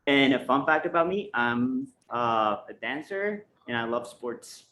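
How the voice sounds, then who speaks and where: A man's voice: mid-range voice, lively intonation, unhurried, bright, forceful tone, a little hiss or hum, rough recording. A man from Colombia with a mid-range voice introduces himself on a video call. He is a graduate student and keeps it casual.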